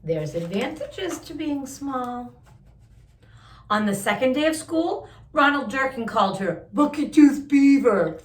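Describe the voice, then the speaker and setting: A woman reading a picture book aloud to young listeners, with expressive drawn-out emphasis and chatty asides. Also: sits high, roomy sound. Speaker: children's story-time host reading a picture book aloud.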